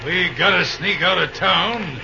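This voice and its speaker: An actor playing a brisk, slangy, conspiratorial railroad man in a vintage radio drama, folksy in manner. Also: sits high, creaky, background noise. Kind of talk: 1930s-40s radio drama acting. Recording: muffled echoey old radio, loud music.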